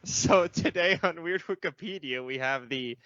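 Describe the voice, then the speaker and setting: A man's voice: on the high side, measured pace, bright, forceful tone, clean tone, no room sound. A man hosting a casual podcast segment, chatting hesitantly with plenty of swearing. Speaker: podcast host presenting a segment. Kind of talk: casual banter about a fan wiki. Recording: dull lo-fi podcast audio.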